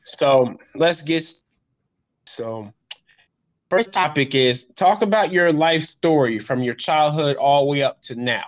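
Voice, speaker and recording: Podcast host: relaxed, friendly woman with a very low voice. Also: a little slow. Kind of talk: casual podcast interview.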